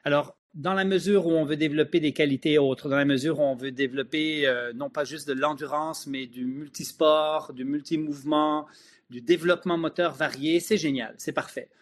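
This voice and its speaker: A man's voice: a little high, fairly even intonation, moderate pace. A middle-aged man with a Quebec French accent, explaining emphatically as an expert guest in an interview. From Switzerland, moved to Quebec at ten. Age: middle-aged or older. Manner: emphatic, opinionated.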